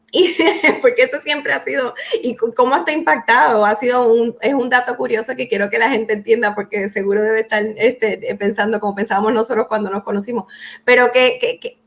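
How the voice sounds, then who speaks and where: A woman hosting a conversational interview, asking long questions full of fillers and restarts. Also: a little high. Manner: hesitant, many restarts and fillers. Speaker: host.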